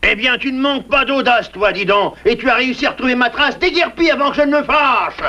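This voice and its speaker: A man's voice: extremely high pitch, quick pace, bright, forceful tone, highs cut off. An actor playing a menacing, blustering wolf father, a bullying cartoon villain who threatens and mocks. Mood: angry, threatening. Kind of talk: French-dubbed cartoon acting.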